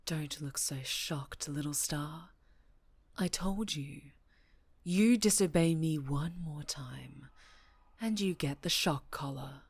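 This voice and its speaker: Slow, menacing superhero captor acted by a woman. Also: low-pitched, husky, no room sound, exaggerated voice. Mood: cold menace. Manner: slow, menacing, condescending, breaking into whispers and shouts. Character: obsessive, domineering female superhero captor, menacing and volatile. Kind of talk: dramatic ASMR roleplay.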